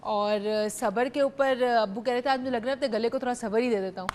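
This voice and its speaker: A woman's voice: a little high, brisk, darkish tone. A young woman with a Pakistani accent hosting a live call-in TV show, chatting freely in Urdu laced with English. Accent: Pakistani Urdu, mixing in English. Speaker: host. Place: TV studio.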